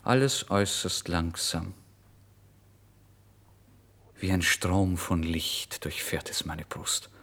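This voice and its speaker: A man's voice: sits low, slow pace, long pauses, exaggerated voice. An actor playing a penniless, emotional writer who recounts his misery in a theatrical, intense German radio drama reading. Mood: ecstatic. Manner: theatrical, intense, swinging between hushed and exclamatory. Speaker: first-person narrator.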